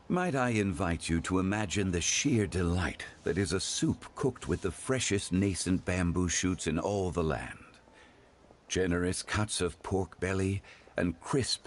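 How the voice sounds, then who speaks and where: An actor playing a refined, courteous gentleman in a video game, speaking formally and eloquently with calm dignity. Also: deep voice, slight background noise. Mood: savoring, persuasive. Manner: formal, eloquent, measured phrasing.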